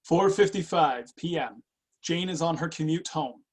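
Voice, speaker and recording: A watchful man in his thirties dictating notes, acted by a man in a script reading. His voice is fairly high and husky, with clipped, observational phrasing. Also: a little slow. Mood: furtive, watchful. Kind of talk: screenplay table read.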